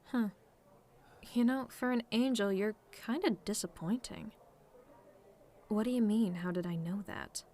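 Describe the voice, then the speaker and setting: A woman acts out a tomboyish female demon with a medium-pitched voice. She is sardonic and relaxed, needling the listener with casual taunts, as in an audio roleplay. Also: unhurried, long pauses, lightly acted. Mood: sardonic, irritated. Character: sardonic tomboy female demon, relaxed, teasing, secretly fond of simple pleasures. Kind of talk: scripted roleplay monologue to a listener.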